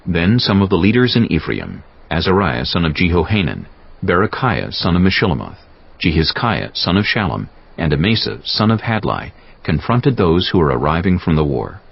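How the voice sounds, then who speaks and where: A very low-voiced man reads scripture as a solemn, measured narrator. His delivery is dramatic and varied in pitch, with a gravelly creak and music underneath. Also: very animated, dull audio. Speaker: audiobook narrator.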